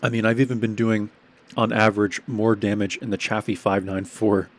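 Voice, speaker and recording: A man giving laid-back, rambling commentary over his own gameplay, his voice a bit hoarse and cracking. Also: lowish voice, level tone, normal speed.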